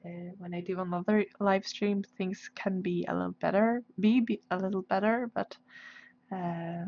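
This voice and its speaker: A woman's voice: mid-range voice, fairly even intonation, normal speed, gravelly. A woman with a Swedish accent chatting casually on a livestream as she draws, hesitant and searching for her English words. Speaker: livestream host, artist. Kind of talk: casual livestream chat while drawing. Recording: dull, lo-fi livestream audio.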